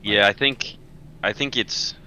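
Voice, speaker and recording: A man's voice: lowish voice, a little slow, bright, forceful tone, slight background noise. A man in a casual podcast chat, thinking aloud tentatively as he explains. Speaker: podcast panelist.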